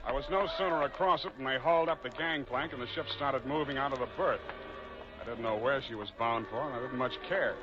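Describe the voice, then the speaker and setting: An actor playing a wry, hardboiled private detective who narrates a 1940s radio drama in a deadpan way. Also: male voice, mid-range voice, a little fast, lightly acted. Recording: muffled old radio recording with music.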